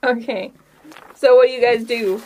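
A woman's voice: on the high side, steady tone, moderate pace, darkish tone, poor audio. A woman hosting and narrating a tabletop game as game master, in a casual style. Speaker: game master and podcast host.

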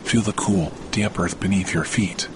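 A man reading a scripted guided sleep meditation as a relaxation podcast host, in a soothing, measured delivery. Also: low voice, steady tone, background noise. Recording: loud rain and thunder sound bed. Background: rain on leaves, soft thunder.

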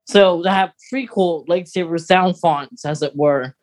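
A podcast co-host with a medium-pitched voice. The delivery is casual and conversational, like a fan talking things over with a friend. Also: a little slow.

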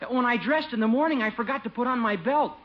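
An actor playing an earnest, boyish young husband in a 1950s radio sitcom. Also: male voice, very high-pitched, fast talker. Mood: earnest worry. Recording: muffled old radio broadcast.

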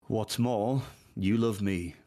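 Male sci-fi captain, deep, measured, formal, restrained, dry studio drama sound. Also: dark tone, dry recording. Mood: controlled ardor. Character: formal, controlled military captain, devoted yet treacherous. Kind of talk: audio drama acting.

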